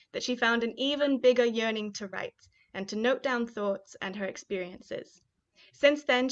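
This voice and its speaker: A young woman from Kenya hosting an online poetry showcase and reading her own spoken-word poem, chatty and upbeat with fillers between remarks. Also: on the high side. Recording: dull, muffled live-stream audio.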